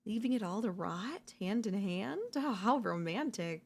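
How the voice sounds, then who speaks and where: A woman voice-acting an obsessive, unhinged yandere in a dark ASMR audio roleplay, theatrical and taunting, mocking and seductive. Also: medium pitch, lively intonation, unhurried, creaky, a little hiss or hum. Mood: excited, menacing. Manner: theatrical, taunting, swinging between intimate murmurs and intense outbursts.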